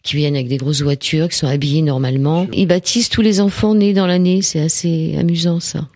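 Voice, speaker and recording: A woman interviewing a guest in casual conversation, asking questions in a relaxed way with fillers. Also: low voice, fairly quick, dry recording.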